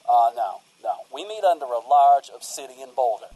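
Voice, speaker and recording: Man acting as folksy cult priest, earnest, easygoing, rambling, mellow mid-range voice, radio comedy. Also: medium pitch, animated, normal speed, close to an everyday voice, low-quality recording. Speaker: guest on a radio show. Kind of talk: comedic radio-play interview.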